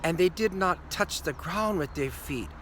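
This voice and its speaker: A man's voice: on the high side, lively intonation, a bit bright. Fields: earnest older man, raised tone. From Hawaii. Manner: unhurried, earnest, pausing mid-phrase. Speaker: storyteller, teacher. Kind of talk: spoken storytelling to camera. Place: outdoors on a hillside above a city. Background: faint city traffic.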